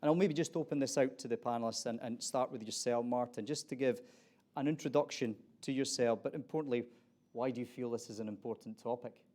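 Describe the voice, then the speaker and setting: A middle-aged man with an Aberdeen Scottish accent opens a conference panel as its moderator. He talks in a loose, conversational way and often restarts his phrases. His pitch sits in an ordinary middle range for a man. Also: fairly quick, a little mellow. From Scotland.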